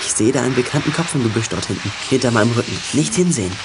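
A man's voice: medium pitch, animated, very fast, background noise, close to an everyday voice. A young male actor playing a clever, bossy schoolboy leader in a detective radio play, commanding and self-assured. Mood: planning, instructing. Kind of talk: youth radio play acting.

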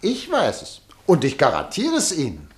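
Male newspaper director, radio play, bombastic, domineering, insistent, very animated pitch. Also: moderate pace. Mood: urgent, insistent. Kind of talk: German radio drama acting.

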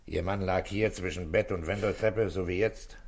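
A man playing a calm, middle-aged police inspector in a 1960s German radio drama, questioning in terse, measured phrases. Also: sits low. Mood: businesslike.

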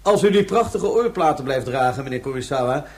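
An actor playing a suave, mock-modest, slyly teasing Martian prince in a radio play. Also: male voice, mid-range voice, animated, brisk, darkish tone, natural voice. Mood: sly, polite. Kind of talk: Dutch science-fiction radio play acting.

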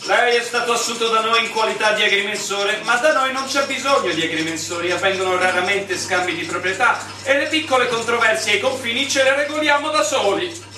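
An actor playing an ailing, officious village mayor in a radio drama, formal and theatrical. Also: male voice, high-pitched, fairly quick, natural voice. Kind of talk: stage-like radio drama acting. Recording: echoey room, distant mic.

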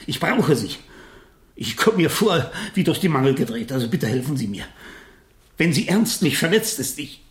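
An actor playing a middle-aged, guilt-ridden uncle in a radio play, agitated, helpless and full of self-reproach. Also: male voice, fairly high pitch, big pitch swings, normal speed. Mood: exasperated, pleading. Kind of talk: 1970s German radio play dialogue. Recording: roomy, echoing radio studio sound.

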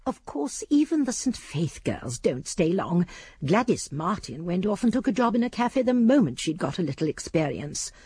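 An older woman playing a shrewd, prim elderly English spinster sleuth, narrating a radio drama in precise, husky tones. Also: fairly low pitch, very animated, moderate pace, mellow, dry recording, full character voice. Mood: prim, gossipy.

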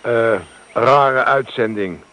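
An actor playing a curious, thoughtful radio inspector in a van, who talks in an easygoing, reflective way, in a radio play. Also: male voice, mid-range voice, slow, sharp tone, a little hiss or hum. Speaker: radio monitoring van operator. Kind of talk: radio drama dialogue.

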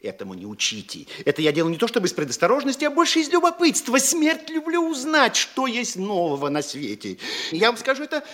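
A high, nosy gossiping postmaster. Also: male voice, wildly swooping pitch, a little fast, a bit bright, full character voice. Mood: gossipy. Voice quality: husky, gossipy, relishing. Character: nosy middle-aged town postmaster, gossipy and eager. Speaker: actor. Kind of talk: stage radio play acting.

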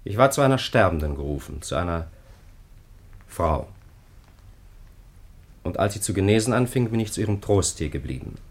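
Male chaplain, 1950s German radio play: low pitch, terse, grave, quietly stubborn. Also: big pitch swings, normal speed, long pauses. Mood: guarded, firm.

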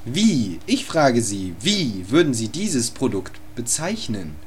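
A man playing a pompous, pushy advertising manager who scatters English buzzwords through his pitch. He talks slowly, with lots of swoops in his pitch, like a character in a homemade radio play. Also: sing-song, slight background noise.

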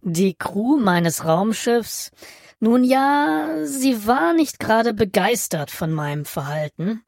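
Woman as Martian alien, very slow, dry close sound, measured, politely haughty, radio satire. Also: on the high side, very animated, gravelly, darkish tone, no room sound, full character voice. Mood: calm, understated.

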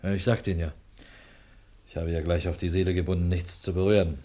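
Male actor as police inspector, lowish voice, matter-of-fact, world-weary, dry, radio mystery. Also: steady tone, fast, warm tone, natural voice. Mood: puzzled, uneasy. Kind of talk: German radio mystery drama acting.

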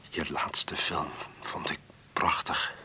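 A man acting a gloomy, ailing, wealthy old uncle in a Dutch radio play, speaking haltingly, wearily and with resignation. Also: very deep voice, flat delivery, bright, forceful tone, whispered, full character voice. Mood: weary, fond.

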